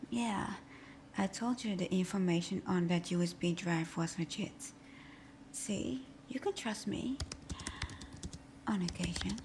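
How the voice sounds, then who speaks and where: A woman's voice: mid-range voice, noisy background. A woman playing a sly, flirtatious supervillain in a scripted ASMR roleplay, murmuring breathily with teasing hums. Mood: playful, smug. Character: flirtatious, sly female supervillain teasing her hero nemesis.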